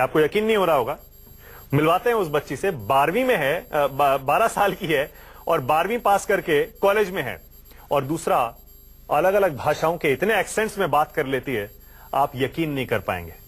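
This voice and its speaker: A man anchoring a TV news show, interviewing a guest in the studio with brisk, teasing questions. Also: on the high side, slightly rough.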